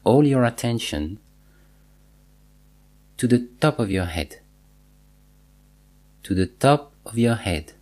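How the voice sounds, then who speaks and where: A man guiding a body scan meditation, giving measured instructions in short phrases with pauses and repetition. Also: mid-range voice, very animated, a little mellow. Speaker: meditation teacher.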